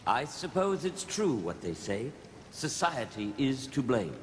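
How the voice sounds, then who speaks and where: An actor playing a wounded, aristocratic cartoon villain, speaking with slow, theatrical bitterness. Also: male voice, mid-range voice, sing-song, slight background noise. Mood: bitter resignation. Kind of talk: animated series voice acting. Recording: long reverb, faint score.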